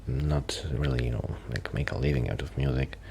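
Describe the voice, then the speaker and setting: Guy acting as shy musician buddy, super low creaky voice, soft asmr rambling, bit of background noise. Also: normal speed. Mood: modest, resigned. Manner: hesitant, rambling, self-interrupting. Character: shy, quiet young male musician friend who rambles about music. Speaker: ASMR roleplay performer. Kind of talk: soft-spoken ASMR roleplay with whispering.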